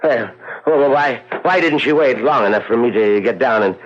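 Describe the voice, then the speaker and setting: An actor playing a blustery, affectionate old Irish uncle in a radio drama. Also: male voice, medium pitch, normal speed, lightly acted. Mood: grumbling, teasing. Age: older. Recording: dull old radio recording.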